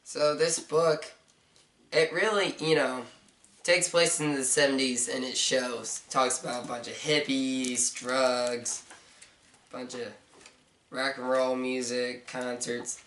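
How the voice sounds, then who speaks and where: Hoarse young man rambling from across a room. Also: mid-range voice, flat delivery, slow pace, a bit bright. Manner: rambling, hesitant, many fillers. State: hoarse, stuffy. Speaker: book reviewer. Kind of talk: casual video review. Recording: roomy, mic at a distance, faint noise.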